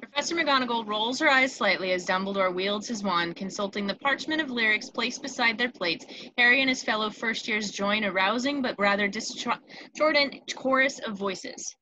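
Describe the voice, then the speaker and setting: A woman's voice: fairly high pitch, moderate pace, bright, forceful tone, slight background noise, poor audio. A woman narrator reading out scripted screen directions for a table read.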